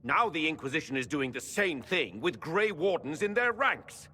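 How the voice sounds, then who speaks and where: A man's voice: a little high, very animated, normal speed, sharp tone, slight background noise. An actor playing an indignant, suspicious nobleman in a fantasy game, speaking in formal, accusatory oratory. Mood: indignant, accusing. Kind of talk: dramatic fantasy game acting. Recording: hall reverb.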